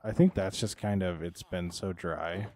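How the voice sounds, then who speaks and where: A very low-pitched man talks through his game build on a livestream. His voice is hoarse and dry, and he rambles in a relaxed way, ending thoughts with loose fillers. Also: a little slow, slight background noise. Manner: laid-back, rambling with trailing "so" and "yeah". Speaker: streamer. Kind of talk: casual livestream chat while building in a game.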